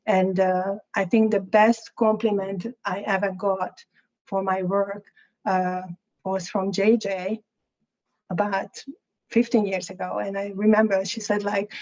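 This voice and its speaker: A woman with a Hungarian accent and Hungarian as her first language, an artist speaking in an interview, halting and thoughtful with many restarts. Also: mid-range voice, muffled recording. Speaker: guest artist. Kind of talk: interview, reflective talk.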